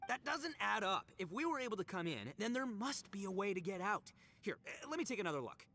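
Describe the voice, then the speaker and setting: A young horned oni gang boss for a video game. His pitch leaps all over the place as he blusters, boisterous, goofy and full of swagger. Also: very animated, a little fast, pushed and bright, heavily acted. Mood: determined.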